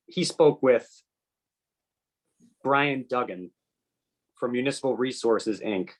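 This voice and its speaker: A man on a committee, talking in loose, rambling asides and reading an email aloud at a meeting. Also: lowish voice. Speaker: committee member. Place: public meeting room.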